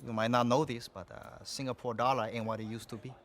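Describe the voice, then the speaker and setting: An actor playing a swaggering, foul-mouthed gang boss and arms dealer in a video game cutscene. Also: male voice, mid-range voice, flat delivery, fast talker, a bit bright, lightly acted. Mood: cocky, amused.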